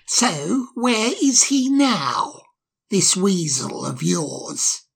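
Guy putting on a sly sarcastic fox boss, slow and menacing, swoops up and down, husky gravelly edge. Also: sits high, sing-song, exaggerated voice. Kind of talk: storybook audiobook character voice.

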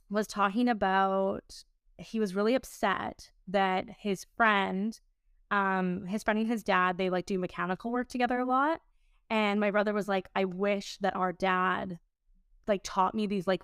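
A woman's voice: medium pitch, steady tone, unhurried, a bit bright, clean tone, dry recording, studio quality. A woman in her late twenties talking casually as a podcast host, in an informal, rambling way sprinkled with "like". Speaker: podcast host and business owner. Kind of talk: casual conversational podcast chat.

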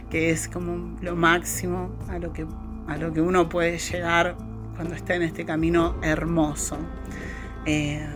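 A woman whose first language is German, hosting a podcast interview in a halting, effusive way, with many restarts and throat clearings. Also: fairly low pitch. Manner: effusive, heartfelt thanks. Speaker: podcast host.

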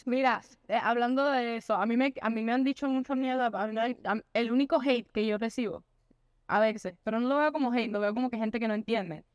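A woman's voice: on the high side, brisk, no room sound, highs cut off. A young woman, a guest content creator of Cuban background, chatting casually and mixing Spanish with English. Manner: mixes Spanish and English, chatty, self-reflective. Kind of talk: casual chat.

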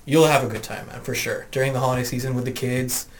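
A man's voice: medium pitch, steady tone, fast talker, slight background noise. A man with an accent, chatting casually as a panelist on a podcast. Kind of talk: casual chat.

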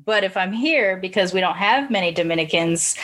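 A woman hosting a podcast, talking things over in a relaxed, reflective way and asking long open questions. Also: medium pitch, fairly quick. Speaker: podcast host. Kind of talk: casual interview conversation.

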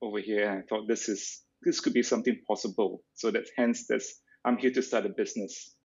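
A man's voice: lowish voice, fairly even intonation, brisk, creaky, a little mellow, slightly rough, dull audio. A man from Singapore, a guest in a casual interview who talks hesitantly, with many restarts.

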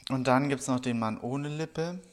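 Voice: medium pitch, measured pace. A podcast co-host from southwestern Germany, chatting casually and jokingly, often laughing. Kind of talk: casual chat about a reality show.

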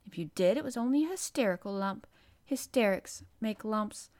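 A woman's voice: mid-range voice, expressive, normal speed, a little mellow, natural voice. A woman voicing a savage-tempered, bossy little girl in a storybook, cross and scolding. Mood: scornful, commanding.

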